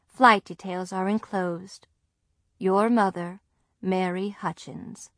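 A woman voicing an older grandmother's formal letter. The words come slow and prim. The sound is close and dry. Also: medium pitch, fairly even intonation, no room sound.

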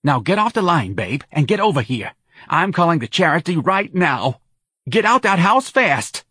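A man voicing a loud, bossy older woman with a New Orleans accent, brash and pushy in an audiobook story. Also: fairly high pitch, very animated, moderate pace, slightly rough, no room sound, studio quality, pitched above the performer's own voice, full character voice.

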